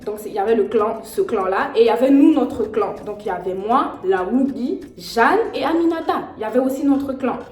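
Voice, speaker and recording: Brief: slangy, animated young woman storyteller, slightly high voice, echoey room, faint music. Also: a little fast, few pauses. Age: young adult. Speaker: vlogger. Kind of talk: storytime vlog.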